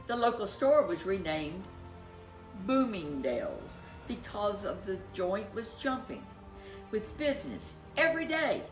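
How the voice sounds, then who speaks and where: A woman storyteller reading a children's tale in a playful, expressive way with drawn-out emphasis. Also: mid-range voice, echoey room, low-quality recording. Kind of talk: animated scripted children's story narration.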